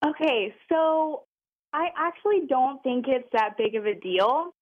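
A woman calling in by phone, giving her opinion in a measured, unhurried way. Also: sits high, lively intonation. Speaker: caller. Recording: muffled phone line.

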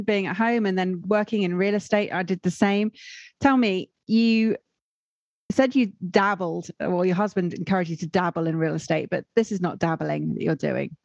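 A woman hosts a podcast interview in an upbeat, encouraging way, quick to praise her guest. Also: medium pitch, creaky, a little mellow, no room sound, dull audio. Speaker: podcast host.

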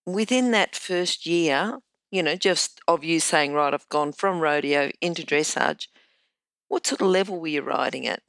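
Low-voiced woman host, dry sound. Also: unhurried, vocal fry, fairly bright tone, no room sound. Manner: hesitant, repeats herself, rephrases questions. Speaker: interviewer, host. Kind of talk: interview questions.

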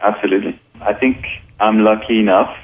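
A man from Colombia with a very low voice, heard through a thin phone-like band. He talks in an easygoing way, leaning on fillers as he explains. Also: fairly even intonation, a little fast. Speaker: filmmaker and photographer, guest. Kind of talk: casual radio interview. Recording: narrow phone-like radio sound.

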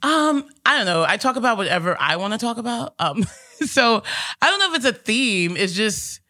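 A woman with a deep, raspy voice talks about her comedy in a loose, rambling way. Her speech is full of ums and restarts, and her tone has a bright edge. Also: big pitch swings, moderate pace. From Astoria, New York. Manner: rambling, many fillers and restarts. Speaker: stand-up comedian, guest. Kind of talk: casual interview chat.

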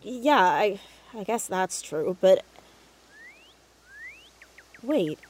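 A woman's voice: mid-range voice, lively intonation, drawn-out words, darkish tone. A young woman playing an earnest, inquisitive teenage girl sleuth in a naturalistic audio drama, chatty and stammering when flustered. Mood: suspicious, insistent.

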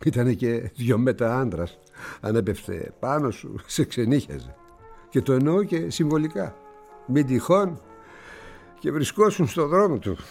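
An actor playing a worldly, condescending older newspaper publisher in a radio drama, speaking with musing pauses. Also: male voice, mid-range voice, animated, gravelly, a little mellow, faint music behind. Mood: wry, musing. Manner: theatrical, patronizing, with musing pauses.

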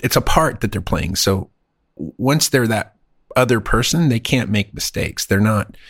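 A man's voice: on the low side, moderate pace, breathy edge, dry recording, studio quality. A man chatting casually as a podcast co-host, rambling and acting out other people's voices. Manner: animated, rambling, acts out voices. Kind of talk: casual chat.

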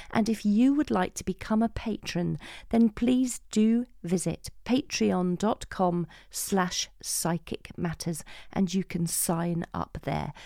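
Creaky-voiced woman podcast host, unhurried. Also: medium pitch, warm tone, no echo, studio quality. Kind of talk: scripted podcast sign-off.

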